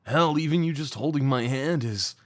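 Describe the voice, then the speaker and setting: A man playing a remorseful young former delinquent tenderly confessing old love in an intimate roleplay audio drama, speaking haltingly and earnestly. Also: a little high, close to an everyday voice. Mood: tender, grateful. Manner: halting, confiding, emotionally earnest.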